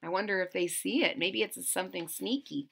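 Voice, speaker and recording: A woman's voice: fairly low pitch, brisk, vocal fry, fairly bright tone, a little hiss or hum. A woman reading a children's story aloud expressively, with asides and questions to her listeners. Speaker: storybook reader.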